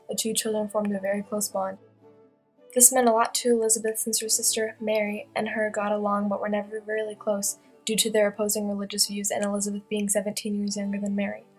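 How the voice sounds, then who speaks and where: Casting: young woman, newsreel-style reporter, fast even read with little pitch movement, guitar music underneath. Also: poor audio. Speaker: student presenter playing a news reporter. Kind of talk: scripted newsreel narration.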